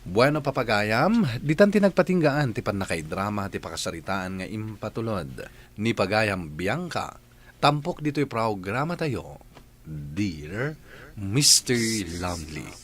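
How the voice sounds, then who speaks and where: A man hosting a radio drama, reading scripted letters and narration with expressive, dramatic phrasing. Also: on the low side, unhurried.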